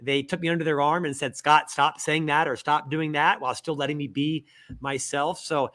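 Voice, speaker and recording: A middle-aged man from Central Florida, a guest author in a casual interview, telling lively stories with self-deprecating humor. He has a stutter. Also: fairly high pitch, normal speed. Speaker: guest, author and podcast host.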